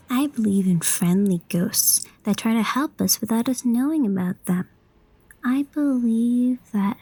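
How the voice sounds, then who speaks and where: A woman playing a practical, gently questioning schoolgirl daughter in an audio drama. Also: on the high side, husky, full character voice, rough recording. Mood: thoughtful.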